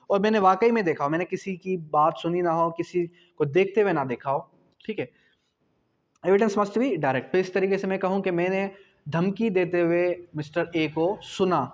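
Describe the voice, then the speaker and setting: A man with a Pakistani accent teaching in an explanatory lecture style, repeating key points and posing questions that he answers himself. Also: high-pitched. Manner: didactic, repetitive, asks and answers own questions. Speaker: law lecturer. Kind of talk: explanatory exam-prep lecture, mixing Hindi-Urdu and English.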